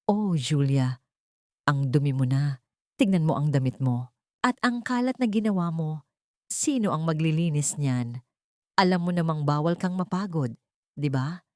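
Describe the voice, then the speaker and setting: A woman's voice: low-pitched, expressive, measured pace, long pauses, a little mellow, no echo, clean studio sound. A woman voicing a scornful young female rival who talks down to another woman, in an audiobook drama. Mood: mocking. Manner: sneering, condescending.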